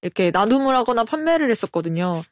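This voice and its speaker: Young female guest creator, higher register, recorded dry, conversational explaining style. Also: big pitch swings, fast, no echo. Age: young adult. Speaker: guest webtoon artist. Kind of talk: casual talk, explaining own work.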